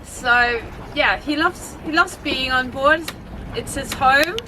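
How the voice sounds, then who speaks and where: A woman's voice: sits high, takes it slowly, background noise. A young woman from Denmark, co-hosting a sailing vlog in a light, chatty way. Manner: chatty, light, laughs easily. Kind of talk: casual vlog introduction.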